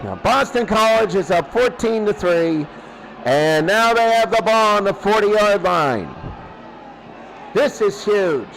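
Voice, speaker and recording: A man doing excitable play-by-play commentary of a football game, repeating phrases and drawing out his calls. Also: sits high, takes it slowly, low-quality recording. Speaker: sports commentator.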